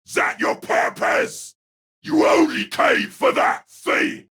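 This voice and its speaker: A man voicing a brash, intense young anime fighter in an audio drama, with a strained, raspy voice and drawn-out dramatic delivery. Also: very high-pitched, wildly swooping pitch, bright, forceful tone, dry recording, raised voice, studio quality, pitched above the performer's own voice, heavily acted. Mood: agitated.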